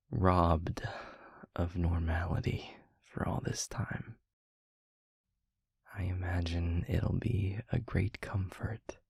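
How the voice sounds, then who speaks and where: Man playing a low, whispery, gravelly demon lover. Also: no echo, studio quality, full character voice. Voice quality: breathy, gravelly, hushed. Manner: slow, deliberate, soft, wordy romantic phrasing. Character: tender demon lover, weary captive, gently teasing. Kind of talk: intimate ASMR audio roleplay. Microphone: close microphone, dry.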